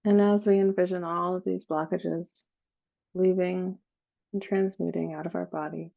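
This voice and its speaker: A woman leading a guided meditation in a slow, soothing manner, with long pauses between phrases. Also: on the low side, fairly even intonation, creaky, dull audio.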